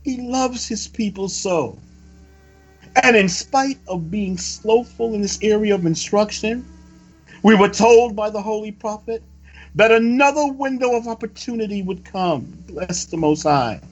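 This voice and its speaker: A man reading a scripted sermon-like report in a solemn, formal, measured oratorical manner. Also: high voice. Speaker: religious reader delivering a prophecy report. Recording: dull lo-fi recording with music behind. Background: music.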